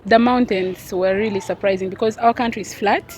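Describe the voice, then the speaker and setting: Young woman, Arabic-English, measured and reflective interviewee. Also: mid-range voice, fairly even intonation, a little mellow, low-quality recording. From South Sudan. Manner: thoughtful, unhurried, with pauses and fillers. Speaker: street interviewee. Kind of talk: casual interview answers.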